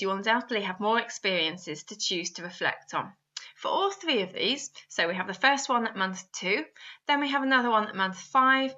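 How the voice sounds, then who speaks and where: Woman, instructional voice-over, very bright tone, direct address to the listener, guiding questions, slightly muffled lo-fi recording. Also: a little high. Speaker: instructor narrating a guidance video.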